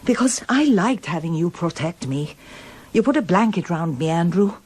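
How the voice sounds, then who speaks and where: Middle-aged wife in a radio play, deepish voice with lots of movement, earnest and frank. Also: female voice, lively intonation, moderate pace, darkish tone, husky. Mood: frank, defiant. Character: middle-aged wife, candid, reflective, newly determined. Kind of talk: radio drama acting, both dialogue and first-person narration.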